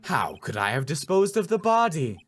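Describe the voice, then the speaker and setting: A man voicing a sly, composed villainous female producer in a video game, taunting and deliberate. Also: a little high, sing-song, soft background music. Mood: challenging.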